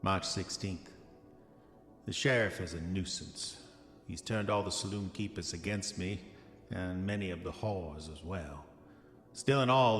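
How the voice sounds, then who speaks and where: An actor playing an arrogant, dissolute diarist of the 1910s, speaking formal old-fashioned entries in a pompous manner for a horror audio drama. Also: male voice, deep voice, a bit bright. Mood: boastful. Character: arrogant, dissolute 1910s male diarist, self-satisfied, increasingly haunted. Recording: echoey long reverb, music underneath. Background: music.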